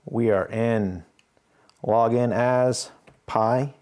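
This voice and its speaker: A man's voice: medium pitch, steady tone, extremely slow, darkish tone. A man hosting a casual live stream, thinking aloud as he works and chatting easily with viewers. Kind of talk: casual live stream chat while working.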